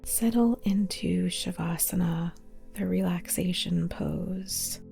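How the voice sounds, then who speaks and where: A woman guiding a relaxation meditation in a soft, breathy near-whisper, calm and soothing, with short spaced phrases. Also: lowish voice, slow pace. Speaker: yoga nidra meditation guide. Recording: modest older mic, music behind.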